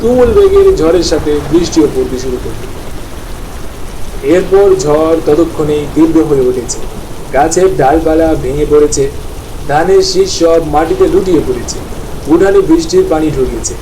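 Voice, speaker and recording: A man's voice: a little high, sing-song, normal speed. A man narrating a scripted cartoon story as storyteller. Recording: distant mic, clear rain noise.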